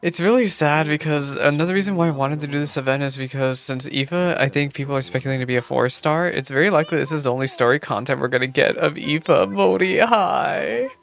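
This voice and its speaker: A woman livestreaming a game playthrough, chatting casually with viewers in a gushing, expressive way. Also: deep voice, fast, few pauses. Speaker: streamer reacting to a game playthrough. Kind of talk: casual livestream chat and commentary. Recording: game music behind the voice. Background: game music.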